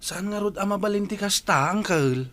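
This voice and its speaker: An actor with a Filipino accent playing an earnest, worried nephew in a radio drama, pleading respectfully. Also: male voice, high-pitched, normal speed, a bit bright. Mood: reproachful, concerned. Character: earnest, worried married nephew, gently reproachful.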